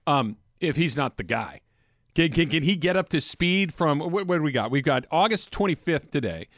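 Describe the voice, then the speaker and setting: A man's voice: fairly high pitch, lively intonation, normal speed, a bit bright, no room sound, clean studio sound. An American man hosting a sports talk radio show, bantering and working through points by rephrasing them with analogies.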